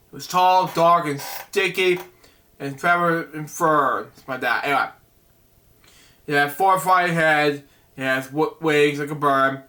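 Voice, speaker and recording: Slow, bright-voiced man reading a storybook. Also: a little high. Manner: halting, stumbling over words, chatty asides to the listener. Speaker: storyteller reading a picture book. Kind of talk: reading a bedtime story aloud with rambling asides.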